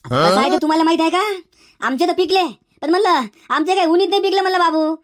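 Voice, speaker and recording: Henpecked old village uncle, cartoon comedy, very shrill, sharp tone, Varhadi Marathi accent, insistent. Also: male voice, expressive, quick pace, no room sound, clean studio sound. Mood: firm, insistent. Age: older. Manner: emphatic, repeats phrases.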